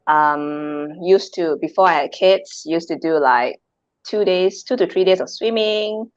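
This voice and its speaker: A chatty Malaysian woman in her thirties, talking easily as a guest on a video call. Her voice sits a little low and sounds dry and dull, like a lo-fi line. She laughs often and throws out rhetorical questions. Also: unhurried, no room sound. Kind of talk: casual chat.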